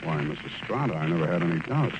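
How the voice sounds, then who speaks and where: An American actor playing a wry, flirtatious hard-boiled private detective in 1940s radio drama style. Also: male voice, sits low, animated, fast talker, lo-fi sound. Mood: smooth, skeptical. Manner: wry, sardonic. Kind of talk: 1940s radio detective acting with first-person narration. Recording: noisy old-time radio recording.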